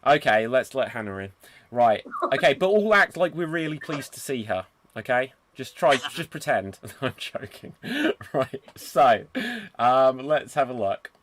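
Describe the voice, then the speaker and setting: A bubbly male host runs an improv game over a video call, teasing the players and often laughing. His voice is bright and somewhat high, and it swings widely in pitch while he keeps an unhurried pace. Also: animated. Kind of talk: hosting a live-streamed improv game.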